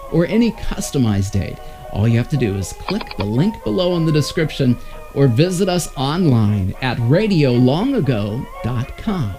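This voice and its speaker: Animated male host narrating over music. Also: a little high. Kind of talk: scripted narration.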